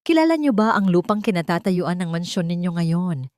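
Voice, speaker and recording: A woman's voice: on the low side, sing-song, moderate pace, a little mellow, dry recording, studio quality. A woman voicing a wronged wife in a dramatic story, cold, threatening and resolute as she accuses. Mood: stern accusation. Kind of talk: dramatic storybook dialogue reading.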